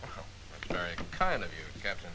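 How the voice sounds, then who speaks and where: An actor playing a skeptical, probing intelligence officer in a 1940s training film, questioning dryly. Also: male voice, low voice, sing-song, moderate pace. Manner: dry, questioning, slightly wry. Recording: 1940s film soundtrack with faint hiss.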